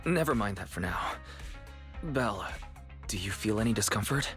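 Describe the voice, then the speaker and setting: A caring young man from a video game, protective and gently worried. His voice is calm, with faint music behind. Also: fairly low pitch, moderate pace.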